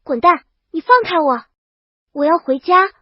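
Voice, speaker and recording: A bold young storybook princess, played by a woman narrator, with a high voice and a brisk, blunt, self-assured manner. The sound is very dry and a little dull. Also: animated, no echo. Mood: outraged.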